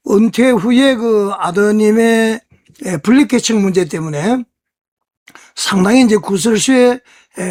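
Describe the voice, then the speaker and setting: Online news host, mid-pitched voice, conversational commentary, explanatory delivery, rhetorical questions to viewers. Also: a little mellow.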